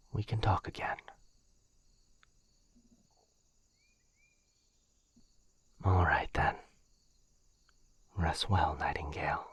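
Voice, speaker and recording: A man playing a courteous, gentle, centuries-old vampire lord in a soft, breathy ASMR roleplay, hesitant and genteel in manner. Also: sits low, heavily acted. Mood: soft, tender. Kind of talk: boyfriend ASMR roleplay acting.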